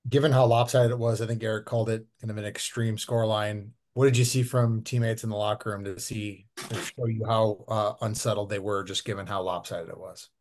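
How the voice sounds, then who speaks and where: A man's voice: lowish voice, little pitch movement, brisk, few pauses, darkish tone. Man asking a rambling question. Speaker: reporter. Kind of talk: press conference questions.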